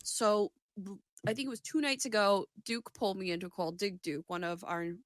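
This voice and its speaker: A woman's voice: mid-range voice, brisk, dry recording, clean studio sound. A woman co-hosting a podcast, chatting casually in a rambling, sweary way. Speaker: podcast host. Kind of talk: casual chat.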